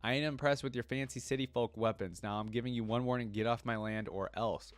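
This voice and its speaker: A man acts out a cantankerous old yordle rider from a fantasy game story. He blusters in folksy, broken grammar and guards his land fiercely. The pitch sits in a medium range, recorded dry and close. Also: steady tone, brisk, no room sound, close to an everyday voice. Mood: gruff warning. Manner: folksy, ungrammatical, blustering trash talk. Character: cantankerous, reckless little old yordle cavalryman, fiercely territorial.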